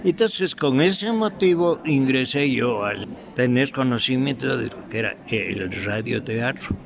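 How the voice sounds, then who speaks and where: An older man with an Ecuadorian Spanish accent, reminiscing in an interview in a halting, deliberate way with pauses and repetitions. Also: medium pitch, lively intonation, vocal fry, a crowd in the background. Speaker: interviewee, veteran radio drama actor.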